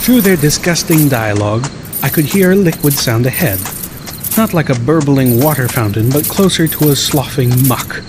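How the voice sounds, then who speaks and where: A man's voice: mid-range voice, lively intonation, moderate pace, noisy background. A man reading a first-person story as an audiobook narrator.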